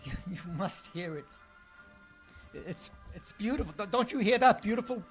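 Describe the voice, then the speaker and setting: Man acting a high-voiced, unhinged radio-drama bomber. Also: animated. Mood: rapturous. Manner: halting, stammering, rapturous. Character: unhinged male bomber, grandiose, raptly obsessed with imagined music. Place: live stage performance in a hall. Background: music.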